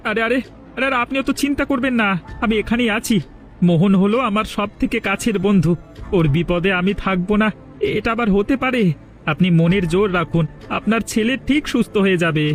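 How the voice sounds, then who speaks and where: একজন পুরুষের অভিনয়ে উঁচু গলার বিশ্বস্ত তরুণ বন্ধু. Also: male voice, high-pitched, fairly quick, soft background music, natural voice. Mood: reassuring. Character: loyal, protective young male friend, reassuring and determined. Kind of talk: cartoon voice acting.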